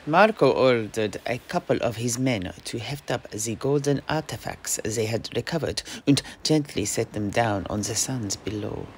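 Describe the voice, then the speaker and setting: Creaky-voiced male fantasy storyteller. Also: medium pitch, expressive, moderate pace, few pauses, slight background noise. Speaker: host, storyteller. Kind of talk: in-character fantasy audio play storytelling.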